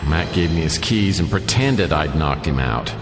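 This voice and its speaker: An actor playing a wisecracking, world-weary private detective in a noir radio drama, with a wry, deadpan delivery. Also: male voice, a bass voice, moderate pace. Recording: roomy reverb, music underneath.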